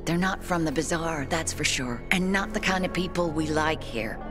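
A woman's voice: low voice, animated, normal speed. An actress playing an anxious, protective mother in a video game, earnest and worried. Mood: uneasy, explaining. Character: anxious, protective mother pleading for her missing son. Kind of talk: video game drama acting. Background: music.

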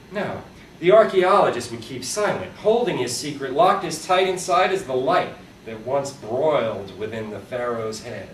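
Male author, theatrical and wry horror storyteller, lively pitch movement, echoey live room with faint noise. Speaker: author reading his own fiction. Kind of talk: dramatic live reading of short horror fiction. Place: live reading at a convention, indoors. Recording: roomy, distant live recording with background noise.

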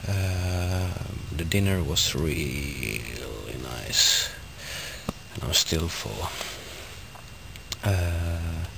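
Young man, low voice, hesitant self-filmed camp vlog, hissy outdoor recording. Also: drawn-out words, gravelly. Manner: hesitant, many ums and uhs, plain. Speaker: bushcraft challenge participant. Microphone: handheld camera, self-filmed. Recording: hissy outdoor camera audio. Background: loud hiss of outdoor noise.

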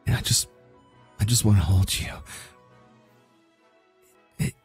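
A man voicing a flustered, brooding but tender young warrior in a romantic roleplay ASMR, husky and halting, close to the microphone. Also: lowish voice, faint music behind. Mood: tender, pleading. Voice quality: husky, breathy. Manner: halting, stammering, sighing.

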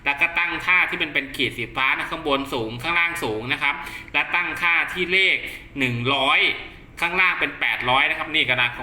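A man's voice: a little high, a little fast, pushed and bright. A man talking casually through a hands-on product test, explaining step by step in a reassuring, instructional way. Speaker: host of a radio gear channel. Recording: roomy echo.

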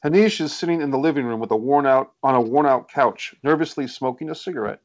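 A man's voice: mid-range voice, quick pace, lo-fi sound. A man reading stage directions as scripted narration for a screenplay over a video call. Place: indoors on a group video call.

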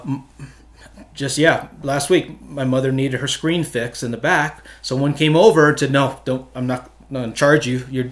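A middle-aged man from Hawaii, a photographer, answering interview questions earnestly with many restarts, in a small studio room at a desk mic. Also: mid-range voice. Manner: earnest, talks with his hands, many restarts. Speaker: photographer guest. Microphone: desk mic on stand.

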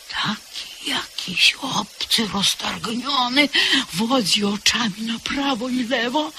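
A woman's voice: on the high side, bright, forceful tone, slight background noise, exaggerated voice. An actress playing a frightened maidservant in a radio drama, telling her story in whispers that break into cries. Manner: breathless, swinging between whispers and cries. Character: frightened maidservant recounting a night of horror. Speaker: house servant. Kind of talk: 1970s-style radio drama acting.

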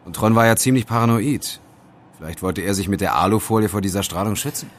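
A man's voice: on the low side, fast, a little hiss or hum, close to an everyday voice. An actor playing a sceptical, teasing young male student sidekick in an audio drama, casual and wry. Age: young adult. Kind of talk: German audio drama acting.

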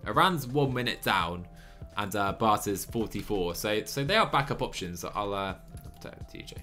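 A young man in his twenties streaming a cycling management game from a small room on a headset, chatty and excitable, laughing often while reading chat. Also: on the low side, moderate pace, soft background music. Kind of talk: live gameplay commentary and chat reading.

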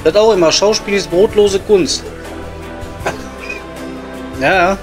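A man's voice: high-pitched, takes it slowly, over music. A man playing a blunt, disdainful coal miner with a Ruhr accent in an improvised comedy audio play. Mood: disdainful gossip. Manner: blunt, crude, colloquial. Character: coarse, disdainful coal miner, hard-drinking pub regular.

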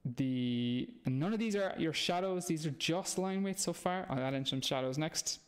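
A man's voice: fairly high pitch, moderate pace. A man in his thirties with an Irish accent, a comic artist giving a chatty, encouraging live drawing lesson from home while he sketches. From Ireland. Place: small dim room at home, indoors.

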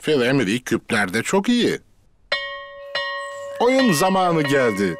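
A man's voice: mid-range voice, wildly swooping pitch, takes it slowly. A man narrating a children's cartoon in a scripted storytelling style. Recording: loud music behind the voice.

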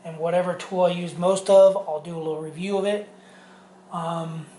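A man's voice: high-pitched, fairly even intonation, normal speed, dark tone, a little hiss or hum. A middle-aged man reviewing a power tool in his home garage workshop, rambling loosely with many ums and restarts. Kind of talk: casual tool review vlog.

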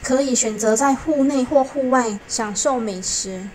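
A woman giving a casual, unhurried food tour of a hotel buffet, naming the dishes one by one. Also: sits high, a little mellow, poor audio. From Malaysia. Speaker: food vlogger. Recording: restaurant noise behind the voice.

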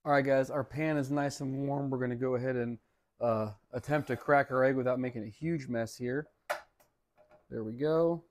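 A man's voice: medium pitch, fairly even intonation, moderate pace, darkish tone. Easygoing American man doing a product review. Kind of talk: casual product review and demo to camera. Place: home dining room and kitchen.

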